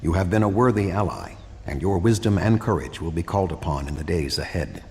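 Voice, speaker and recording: An actor playing a grave, logical alien statesman in a video game, speaking in a measured, formal way. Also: low voice, lively intonation, creaky, darkish tone. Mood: formal farewell. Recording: reverberant game audio, faint background hum.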